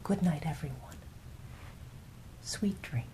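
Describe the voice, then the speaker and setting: A woman telling bedtime fables as a storyteller, in an unhurried, soothing and expressive way. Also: low voice, whispered, low-quality recording.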